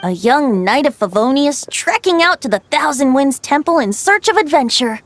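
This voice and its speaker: A woman's voice: sits high, very animated, normal speed, no room sound. A woman voicing a youthful, breezy male bard in a video game, with a lilting, theatrical storyteller's manner. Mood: storytelling. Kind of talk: video game character dialogue.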